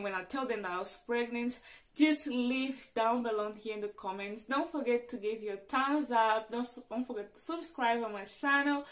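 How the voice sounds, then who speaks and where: A young woman whose first language is Portuguese talks straight to her viewers in a personal vlog. Her voice sits a little high. She is earnest and halting, often stopping to restart a sentence as she works through it. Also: a bit bright. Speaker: vlogger.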